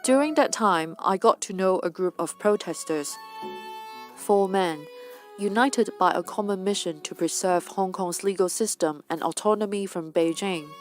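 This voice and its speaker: A woman news reporter narrating her report in a steady, storytelling way. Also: medium pitch, normal speed. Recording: faint music underneath.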